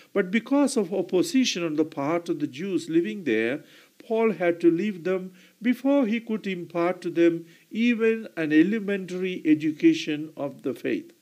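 A man preaching a homily in a slow, deliberate way, now and then clearing his throat and correcting himself. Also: fairly high pitch, darkish tone.

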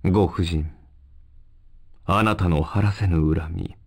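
Grave, gravelly, low-voiced henchman. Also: fairly even intonation, slow pace, heavily acted. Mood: solemn. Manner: formal, grave, archaic. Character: solemn, dutiful male henchman serving avengers. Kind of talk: Japanese fighting game voice acting.

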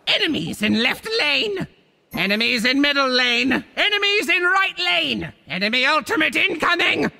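A man's voice: very high-pitched, big pitch swings, normal speed, vocal fry, sharp tone, exaggerated voice. A man voicing a boastful, theatrical cartoon monster villain for a video game, with a hammy, swooping delivery. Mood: commanding, urgent.

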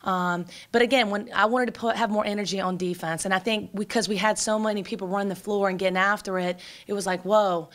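A woman in her forties, a basketball coach, talking quickly and energetically at a postgame press conference, with many fillers and restarts, into a table microphone. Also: medium pitch, fairly even intonation, a bit bright. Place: press room before a sponsor backdrop. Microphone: table microphone in front.

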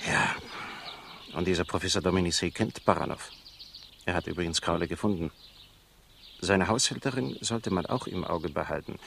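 An actor in a 1960s radio crime drama playing a suspicious investigator who briefs in a dry, deliberate way. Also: male voice, deep voice, gravelly, fairly bright tone, a little hiss or hum.

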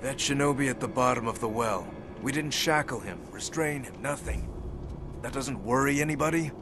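A man plays a worried castle guard in a samurai game. The voice sits at a middle pitch, uneasy and questioning, over clear music. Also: normal speed, close to an everyday voice. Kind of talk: video game dialogue acting.

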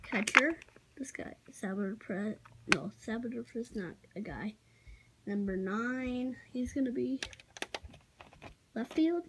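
A child giving a casual, halting show-and-tell narration while filming on a handheld phone in a room at home. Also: mid-range voice, animated. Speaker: amateur toy video maker. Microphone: handheld phone behind the camera. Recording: noisy handheld home recording with clicks.

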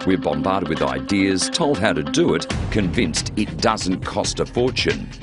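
A man's voice: low voice, expressive, unhurried. A man with an Australian accent reading scripted narration as a television news reporter. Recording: loud music under narration.

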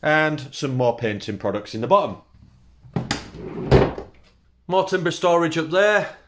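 A British man in his thirties, chatty and relaxed, giving a casual tour of his small indoor woodworking workshop, recorded on the camera mic. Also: a little high, a little slow, background noise. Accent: British English. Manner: relaxed, chatty, lists tools in short phrases. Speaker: woodworking channel host.

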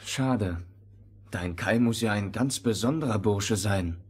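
Husky, kindly young prince. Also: male voice, on the low side, slow pace. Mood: generous. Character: kindly, generous young fairy-tale prince. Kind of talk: fairy-tale audio drama acting.

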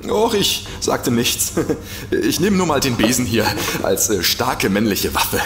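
An actor playing a nervous, reluctant investigator in an audio drama, hesitant and stammering. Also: male voice, mid-range voice, big pitch swings, a little slow. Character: nervous, reluctant male investigator, easily spooked. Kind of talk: German audio drama acting. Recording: echoey reverberant room with background noise.

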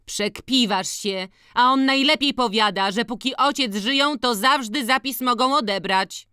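A man's voice: very high-pitched, a little slow, bright, forceful tone, clear, resonant tone, no echo, clean studio sound, pitched above the performer's own voice, full character voice. A man voicing a timid, worried peasant woman in an audiobook, plaintive and nagging in rural dialect. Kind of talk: dramatized audiobook reading of rural dialect dialogue.

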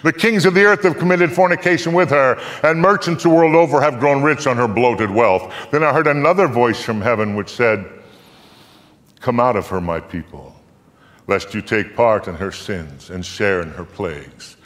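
An older man recites scripture from a stage as a piece of dramatic storytelling. His delivery is solemn and emphatic, with deliberate phrasing, in a mid-range voice. A long hall reverb trails his words. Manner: solemn, deliberate, emphatic, swelling from hushed to booming. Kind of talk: live dramatic reading, theatrical storytelling recitation. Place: theatre stage before a live audience.

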